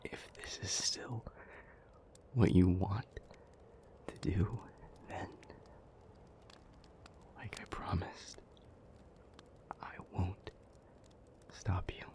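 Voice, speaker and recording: A deep, hushed male demon, acted by a man, weary and gentle. He speaks slowly and haltingly, earnest and protective, as in an intimate audio drama. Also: fairly even intonation. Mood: resigned, tender. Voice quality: breathy, whispery, low. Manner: slow, halting, earnest, with long pauses. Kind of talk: intimate scripted audio roleplay.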